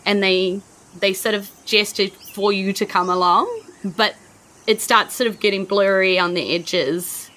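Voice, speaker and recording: A woman's voice: medium pitch, steady tone, measured pace, a bit bright. A woman with a New Zealand accent, running a tabletop game and painting scenes for her players with lots of "like" and "you know". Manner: vivid, searching descriptions full of "like" and "you know". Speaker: game master.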